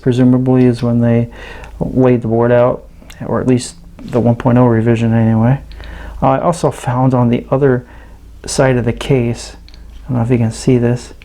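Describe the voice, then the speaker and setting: A man giving casual hands-on demonstration commentary as a host, thinking aloud in a halting, understated way. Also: medium pitch, mellow. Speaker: host of an electronics teardown channel.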